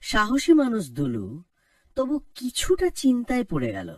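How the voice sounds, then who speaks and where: A man's voice: very high voice, wildly swooping pitch, normal speed, dry recording, recorded from a distance, heavily acted. A man narrating a village folk tale cartoon in a scripted storybook style. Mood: storytelling.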